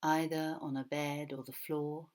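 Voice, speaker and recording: A woman guiding a body scan meditation as a mindfulness teacher, speaking softly and soothingly in a breathy voice with long pauses. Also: sits low, little pitch movement.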